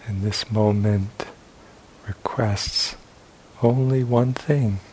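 Very slow-speaking man guiding meditation. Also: on the low side, fairly even intonation, darkish tone, low-quality recording. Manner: sparse short phrases with long pauses. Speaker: meditation teacher. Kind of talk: guided meditation. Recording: faint hiss and background noise.

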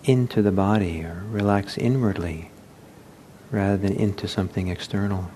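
A man leading a guided meditation as a teacher, speaking gently and unhurriedly with halting pauses. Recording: low rumbling background noise.

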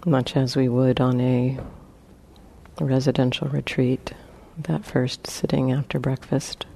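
A woman leading a guided meditation as a teacher, speaking gently in short, spaced phrases with long pauses. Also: extremely low pitch, level tone, gravelly, husky.

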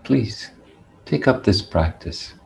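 Male meditation teacher, low gravelly voice, deliberate phrasing with long pauses. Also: expressive, a little mellow, slight background noise. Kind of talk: guided meditation talk.

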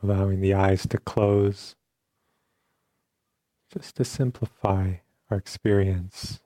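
A man teaching meditation, giving calm, unhurried guided instructions in short phrases with long pauses between them. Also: low voice, dry recording.

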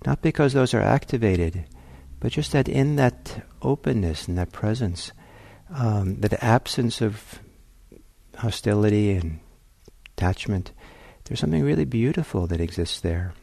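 A man teaching meditation in a gentle, reflective way, pausing often as he searches for phrasing. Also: on the low side, expressive, vocal fry, no room sound. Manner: gentle, hesitant, reflective phrasing with long pauses. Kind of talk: guided meditation talk.

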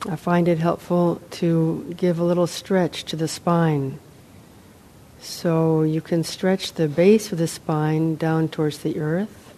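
A woman meditation teacher leading a guided meditation, unhurried, in short phrases with long pauses. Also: low voice, little pitch movement, mellow, a little hiss or hum. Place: meditation center, live talk.

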